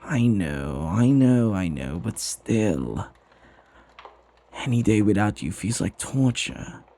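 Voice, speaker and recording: A man playing a flirty, husky devoted butler. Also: fairly low pitch, sing-song, slow, dark tone, exaggerated voice. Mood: flirty, pining. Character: flirty, devoted, teasing butler doting on the master. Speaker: ASMR roleplay voice actor. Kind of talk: ASMR roleplay acting.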